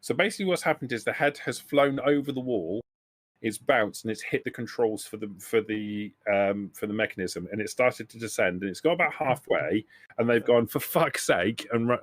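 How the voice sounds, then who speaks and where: A man's voice: mid-range voice, dry recording. A man narrating a tabletop game as game master, improvising and often rephrasing as he goes. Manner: hesitant, halting, often rephrasing.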